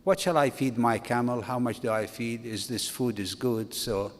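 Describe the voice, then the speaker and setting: A man in his sixties, originally from Iraq, giving a slow, halting academic talk at a conference. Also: medium pitch. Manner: slow, halting delivery with restarts. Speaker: conference speaker. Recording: long reverb of a conference hall.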